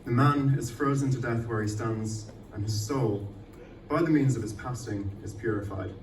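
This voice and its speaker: A man's voice: medium pitch, normal speed. Man performing poetry, echoey and distant. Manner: sweary, self-deprecating. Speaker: poet performing own work. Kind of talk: live poetry reading with casual banter. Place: indoor arts night venue, live event. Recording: echoey live venue, distant mic, faint noise.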